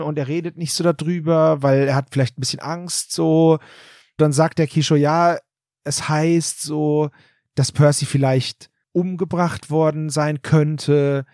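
A man's voice: on the high side, steady tone, measured pace, darkish tone, no room sound, clean studio sound. A man co-hosting a casual podcast chat, retelling a book's plot in loose running summaries. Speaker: podcast co-host summarizing the book.

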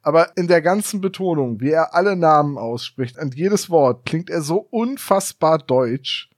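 Man, higher voice, deadpan and nitpicky, dead dry room, casual podcast talk. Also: moderate pace, no room sound. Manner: explaining, nitpicky, deadpan jokes. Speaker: podcast co-host. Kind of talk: casual chat reviewing an audio drama.

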